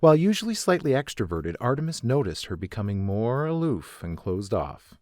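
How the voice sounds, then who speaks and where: A man's voice: medium pitch, big pitch swings, moderate pace, darkish tone, dry recording, clean studio sound. A man reading scripted story narration as the narrator.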